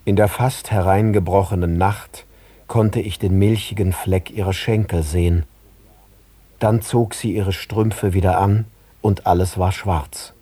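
Male radio drama narrator, dark and husky, close dry sound, poetic prose delivery. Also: deep voice, fairly even intonation, unhurried, dry recording.

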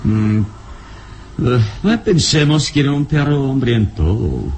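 An actor playing a calm, commanding adventure hero in a radio serial, speaking with measured, dramatic pauses. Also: male voice, mid-range voice, a little hiss or hum. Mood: reassuring.

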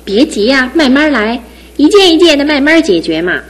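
A woman's voice: high voice, sing-song. An actress voicing an independent, teasing young female student about to graduate, in a studio radio drama. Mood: playful teasing. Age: young adult. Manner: teasing and brisk, then tender. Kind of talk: 1960s-70s studio radio drama acting.

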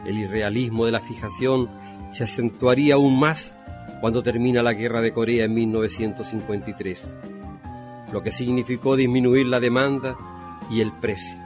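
A man giving formal, measured, scripted historical narration for a radio drama. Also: mid-range voice, a little mellow. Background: music.

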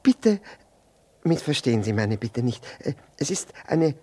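An actor playing a middle-aged gentleman in a radio play, fussy, over-apologetic and nervously insistent, stammering and repeating himself. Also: mid-range voice, big pitch swings, unhurried, slight background noise. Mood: nervous, pleading. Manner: stammering, hesitant, repeats words anxiously. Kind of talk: 1960s German radio play acting.

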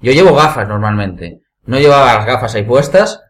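A man's voice: mid-range voice, lively intonation, fairly quick. Male podcast panelist, Spain accent, mid-range voice, recounting stories informally among friends. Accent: Spain Spanish. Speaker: podcast panelist and amateur actor. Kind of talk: casual group chat, telling anecdotes.

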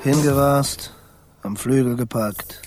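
A man's voice: mid-range voice, slow pace, lightly acted. Man playing a chatty father mouse over music. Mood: chatty, confiding. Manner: conversational, animated telling in short fragments. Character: chatty, timid but kindly father mouse writing a letter. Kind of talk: first-person storytelling in a children's radio play. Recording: music behind the voice.

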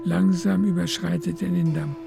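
Creaky-voiced female radio play narrator. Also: lowish voice, steady tone, moderate pace, over music.

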